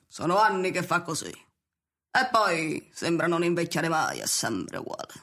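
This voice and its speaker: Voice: medium pitch, sing-song, measured pace, a bit bright. An actor playing a plainspoken, welcoming hill farmer woman in an Italian radio drama, chatty and rustic. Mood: gossipy. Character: Mrs Baxter, folksy pipe-smoking hill farmer woman, plainspoken and welcoming.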